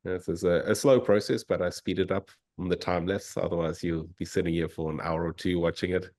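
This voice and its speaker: South african guy with a really low voice, chill and excited, talking over a video call. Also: brisk. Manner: easygoing and enthusiastic. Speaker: fossil hunter and guest speaker. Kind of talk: informal slide presentation.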